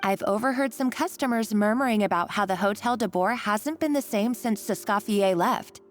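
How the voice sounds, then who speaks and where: A woman's voice: mid-range voice, normal speed, faint music behind, natural voice. A woman playing a timid, guilt-ridden young chef in a video game, speaking hesitantly and apologetically. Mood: earnest, subdued.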